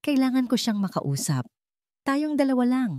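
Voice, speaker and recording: A woman acts out a rich young businessman in a romantic audio drama. He is commanding and persuasive, pressing his point with lively swings of pitch in a dry, close recording. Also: moderate pace, no echo. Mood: stern, firm. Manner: firm, pragmatic, urgent.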